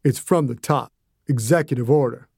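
A man voicing a big, stern male federal agent who speaks quietly and in clipped phrases, in an audiobook. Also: mid-range voice, normal speed, creaky, breathy edge, no room sound, studio quality.

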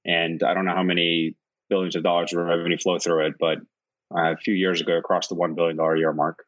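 A man from Iceland, a company founder answering interview questions in a steady, thoughtful way, often listing points in runs. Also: low voice, fast talker, lo-fi sound. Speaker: guest, tech founder.

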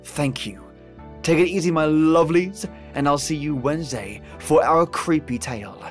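Male podcast host, slightly high pitch, effusive chummy delivery, colloquial, soft music behind. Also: moderate pace. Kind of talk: scripted friendly podcast outro.

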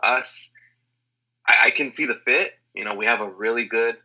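A man guest on a sports podcast, talking in an animated way with lots of restarts over an internet call. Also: medium pitch, moderate pace, slightly rough, lo-fi sound. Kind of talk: casual sports opinion chat.

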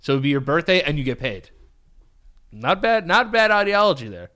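A man co-hosting a podcast, excitable and joking crudely in casual chat, a little nasal. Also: a little high, normal speed. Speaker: podcast co-host.

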